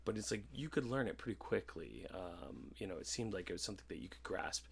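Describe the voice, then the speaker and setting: Low, gravelly man chatting casually. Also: big pitch swings, quick pace. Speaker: podcast co-host. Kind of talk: casual chat.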